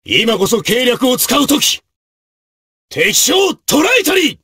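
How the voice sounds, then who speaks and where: An actor voicing a brave, martial samurai officer in a video game, with gruff, raspy, declamatory delivery in archaic period speech. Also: male voice, very high voice, big pitch swings, slow pace, heavily acted. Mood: rousing battle cries. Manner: forceful, declamatory.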